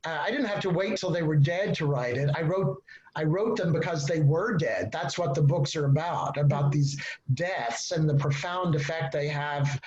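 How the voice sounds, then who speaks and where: A man with a Texan accent talks as a panel guest, his voice sitting a touch high. He rambles easily from point to point with laughing asides. The sound is dull and lo-fi, as from a video call. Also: normal speed, darkish tone. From Texas. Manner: chatty and digressive, with laughing asides. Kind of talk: casual panel conversation.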